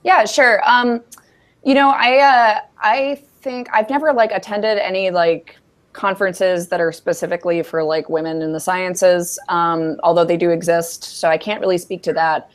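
A woman in her thirties from New York talks through an earbud headset on a video call. Her voice sits low for a woman, and she speaks casually and reflectively, with lots of fillers. She sounds like she is thinking aloud about her own experience. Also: fairly even intonation, normal speed. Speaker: panelist. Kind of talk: panel answer over video call. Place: office with bookshelves.

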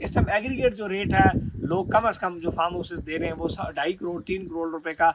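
A man's voice: high-pitched, steady tone, fast, over a noisy crowd, low-quality recording. A man in his thirties with a Pakistani Urdu accent, giving an upbeat sales walkthrough of a farm estate as a property consultant, pointing out the sights as he talks. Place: outdoors on a rooftop at a rural farm estate. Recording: loud outdoor wind and ambient noise.

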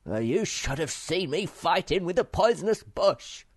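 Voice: mid-range voice, big pitch swings, measured pace, darkish tone, voice pushed up. A reader voicing Moomin Troll, an eager young troll who boasts and chatters. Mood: boastful.

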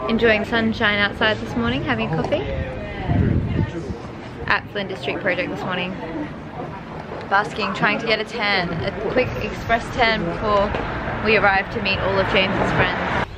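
A woman's voice: a little high, noisy background. A young woman in her twenties chatting casually to a handheld camera, with plenty of likes and ums. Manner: chatty, laughs often, lots of likes and ums. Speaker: vlogger. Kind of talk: casual vlog chat. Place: outdoors in city streets and in a car. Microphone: handheld camera at arm's length. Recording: loud music under the voice.

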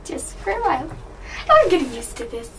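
A woman's voice: high voice, huge pitch swings, fairly quick. A teenage girl riding a mountain gondola, chatting nervously and telling herself to keep looking at the floor. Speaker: older kid on a family trip. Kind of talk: casual chat. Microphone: camcorder a few feet away. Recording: distant camcorder audio with wind noise.

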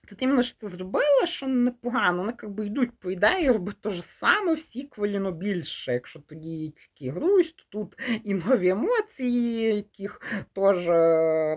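A young man giving a casual, unscripted movie review in a halting way, often rephrasing mid-thought and mixing Ukrainian with Russian words. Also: sits high, big pitch swings. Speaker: film reviewer.

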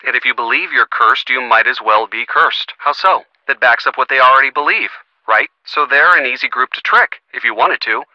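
An actor playing a supportive, teasing young boyfriend in a video game, earnest and lightly wry, heard through a phone filter. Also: male voice, medium pitch, fairly quick, sharp tone, no room sound. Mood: attentive, concerned. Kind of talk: video game voice acting, conversational. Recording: thin, band-limited phone-like sound.

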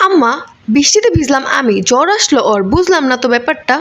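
A woman's voice: high-pitched, expressive, fast, a bit bright. A woman voicing a cheeky, stubborn young daughter in a comedic cartoon, with a Bangladeshi accent and a whiny, dramatic delivery. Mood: eager, cheeky. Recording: distant, noisy audio.

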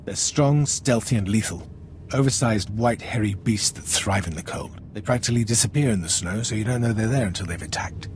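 A man's voice: on the low side, fairly quick, darkish tone, slight background noise, natural voice. An actor playing a deferential, earnest military commander in a video game, speaking formally and apologetically as he explains. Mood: earnest briefing.

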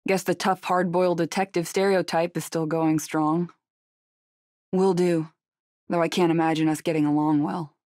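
A woman's voice: fairly low pitch, steady tone, normal speed, a little mellow, dry recording, clean studio sound, lightly acted. Terse, guarded actress as a tough female agent. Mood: subdued, wry. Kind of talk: video game voice acting.

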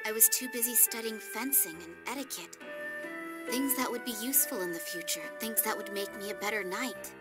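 Lady as a serious young girl knight in a game, prim, higher voice, music behind. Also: a little fast, lightly acted. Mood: prim, defensive. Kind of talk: video game voice acting.

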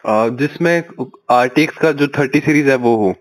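A man's voice: mid-range voice, moderate pace. A young man making a polite customer enquiry on a recorded phone call.

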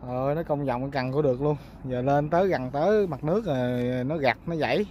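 A man's voice: medium pitch, quick pace, a little mellow, muffled recording. Southern Vietnamese man vlogging over boat noise. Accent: Southern Vietnamese, Mekong Delta. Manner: colloquial, exclamatory, addressing viewers. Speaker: fishing vlogger. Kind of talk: casual outdoor vlog chat. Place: outdoors on a small boat on a river. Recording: clear outdoor boat noise.

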